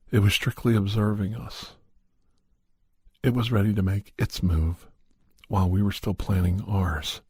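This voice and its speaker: A man with a soft, husky voice telling a story in a gentle, measured ASMR style as a podcast host. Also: fairly low pitch, no room sound.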